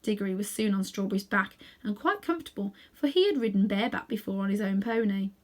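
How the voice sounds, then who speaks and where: A woman reading a children's novel aloud as an expressive storyteller. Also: medium pitch, fast. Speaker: storybook reader.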